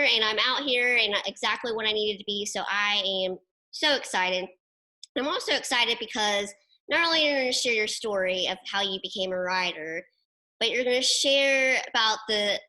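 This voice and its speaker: Eager woman host, upbeat, talks to camera then chats w/ a guest, mid pitch. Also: sharp tone, rough recording. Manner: enthusiastic, upbeat, emphatic. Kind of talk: interview show host, intro to camera then casual chat. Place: home studio.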